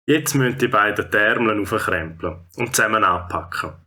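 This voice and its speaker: A man's voice: fairly low pitch, animated, moderate pace, sharp tone, a little hiss or hum. A man with a Swiss German accent narrating a scripted children's story.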